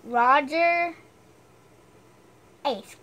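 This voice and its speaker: A woman's voice: sits high, drawn-out words, a crowd in the background. A woman reading a storybook aloud with chatty, self-deprecating asides, stumbling over the odd word.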